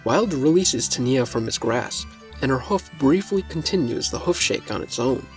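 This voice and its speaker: A man reads a scripted fantasy story as narrator. His voice sits at a middling pitch, with faint music running behind it. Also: animated, normal speed.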